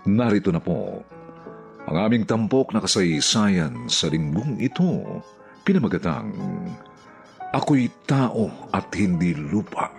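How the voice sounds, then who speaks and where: Male radio drama announcer, Filipino accent, low creaky voice, drawn-out dramatic delivery. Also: very animated, a little mellow. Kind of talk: dramatic scripted radio introduction. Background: music.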